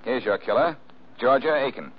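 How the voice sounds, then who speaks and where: An American actor playing a cool, sardonic amateur detective in a 1940s radio mystery, glib and self-assured, on an old recording. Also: male voice, mid-range voice, lively intonation, moderate pace, close to an everyday voice. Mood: confident, sardonic. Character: wisecracking, cool-headed amateur detective man, quick and sardonic. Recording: dull, hissy old-time radio recording.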